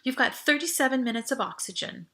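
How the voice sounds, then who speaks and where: A protective teenage boy in an adventure audiobook, read by a woman, talks in a bright, clearly pitched voice. He sounds earnest and reassuring, covering his worry. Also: animated, fast talker, full character voice. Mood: steady reassurance. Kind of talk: audiobook character voice.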